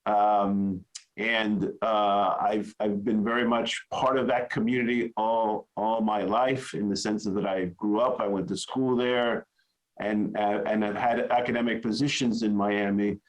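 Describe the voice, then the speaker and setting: A man's voice: fairly low pitch, level tone, a little slow, rough recording. A middle-aged man from South Florida, an ambassador introducing himself on a panel, hesitant with fillers and restarts over a video call. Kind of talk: informal self-introduction.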